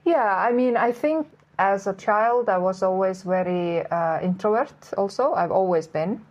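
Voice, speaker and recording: A woman from Iceland with an Icelandic accent, a podcast guest speaking English in a casual interview, hesitant and pausing often. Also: mid-range voice, a little mellow, muffled recording. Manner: hesitant, with pauses and fillers.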